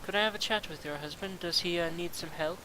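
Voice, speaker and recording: A young man with a raspy voice from a cold, chatting casually and self-deprecatingly while commenting on his gameplay. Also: sits high, fast, noisy background. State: has a cold. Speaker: let's play commentator.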